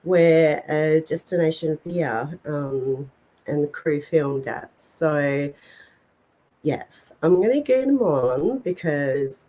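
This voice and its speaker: A woman speaks in a somewhat low, stuffed-up voice, clearly nursing a cold. She hosts a live stream at a slow, easygoing pace, rambling warmly with plenty of "um"s and little laughs, as if on a video call. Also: lively intonation, rough recording. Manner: chatty, rambling, many fillers and laughs. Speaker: host. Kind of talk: casual live-stream chat.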